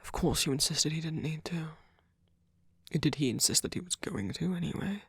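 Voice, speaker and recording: A man playing a gentle, doting centuries-old vampire boyfriend in an ASMR roleplay, speaking softly and haltingly, often in a whisper. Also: fairly high pitch, no room sound, studio quality. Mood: fretting, gentle. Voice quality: soft, breathy, often whispered. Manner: halting, polite, fussing, softly reassuring.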